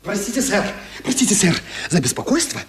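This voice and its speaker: An actor playing an eager, deferential young inn servant in a radio play, obsequiously polite and husky. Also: male voice, high voice, sing-song, fairly quick.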